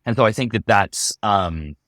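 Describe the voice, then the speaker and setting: Male actor in interview, very low register, dry sound, halting conversational delivery. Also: fairly bright tone, no echo. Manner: halting, thinking aloud. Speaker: actor interviewed about his show. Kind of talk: casual panel chat.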